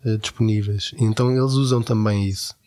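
A man's voice: fairly low pitch, steady tone, a little fast, darkish tone. A man with a European Portuguese accent casually retelling a story and acting out quoted lines.

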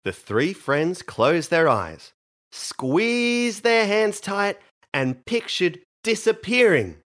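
A man narrating a children's story in an expressive, playful style. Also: high-pitched, slow pace, exaggerated voice.

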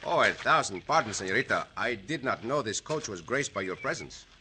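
An actor playing a suave, gallant, mocking Mexican bandit hero in an old radio western, courtly and teasing with a Mexican accent. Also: male voice, lowish voice, animated, fairly quick, natural voice. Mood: gallant, commanding. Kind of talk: 1950s radio western acting. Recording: noisy old radio recording with clicks.

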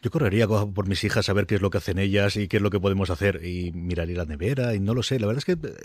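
A male panelist talks quickly in a fairly low voice. He sounds conversational, in a dry recording. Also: a little mellow, dry recording. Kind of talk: casual panel chat.

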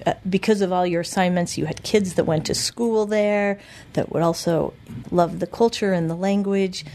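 A woman interviewer asks her questions in a calm, measured way that gently draws out her guest. Her voice sits low and sounds warm and mellow. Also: slight background noise.

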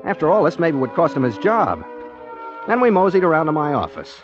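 Affable, high-pitched, animated radio detective. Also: male voice, fairly quick. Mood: wry narration. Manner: mild, polite, scatterbrained, hesitant. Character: affable, naive amateur private detective, male army veteran. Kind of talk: 1940s radio detective comedy acting with narration. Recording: muffled vintage 1940s radio recording, music behind.

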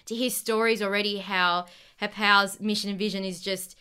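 A woman's voice: medium pitch, steady tone, normal speed, pushed and bright, clean tone. An Australian woman in her late twenties, a podcast guest, talking in a bubbly, earnest way and laughing often. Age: 20s. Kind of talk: casual podcast chat.

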